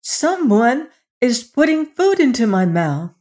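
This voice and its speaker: A woman's voice: a little high, sing-song, slow, darkish tone, pitched above the performer's own voice. A woman voicing a queen's little son in a storybook, a suffering young prince who whines and pleads. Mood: plaintive. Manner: whining, pleading.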